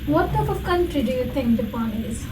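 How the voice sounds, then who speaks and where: High-voiced young woman hosting a chat. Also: lively intonation, brisk. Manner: friendly, chatty, asks questions. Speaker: channel host. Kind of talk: casual podcast interview. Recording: echoey room from a distance, noisy.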